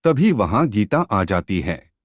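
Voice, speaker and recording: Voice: sits low, very animated, slow pace, no room sound, studio quality. A narrator reading a scripted moral story.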